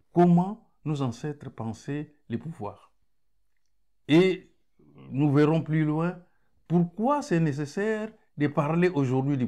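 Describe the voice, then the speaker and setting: Slow, deliberate man lecturing in French. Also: fairly high pitch, darkish tone. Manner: measured, pausing often with restarts and stressed key words. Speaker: lecturer on African thought. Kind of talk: unscripted lecture.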